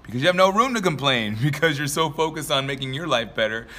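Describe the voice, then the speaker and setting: Young male vlogger, a bit raspy from a dry throat, bright and forceful, loose rambling motivational talk. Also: mid-range voice, expressive, quick pace, a little hiss or hum. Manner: rambling, filler-heavy, laughs often. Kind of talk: casual motivational talk to camera.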